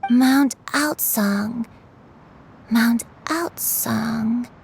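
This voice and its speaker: A very slow, faltering granny. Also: female voice, on the high side, slight background noise. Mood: dazed, strained. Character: frail, confused granny straining to remember. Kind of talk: anime-style video game voice acting.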